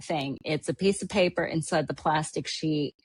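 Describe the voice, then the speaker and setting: A woman's voice: deep voice, moderate pace, husky, dry recording, low-quality recording. A woman from Hawaii hosting a podcast, chatting casually about a trip and rambling with lots of fillers. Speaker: podcast host. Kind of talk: casual travel recap chat.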